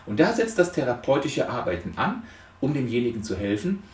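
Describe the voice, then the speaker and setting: A man giving an explanatory lecture with storytelling, speaking deliberately with dramatic emphasis. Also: mid-range voice, sing-song. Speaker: lecturer on psychotherapy. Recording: roomy echo, distant microphone.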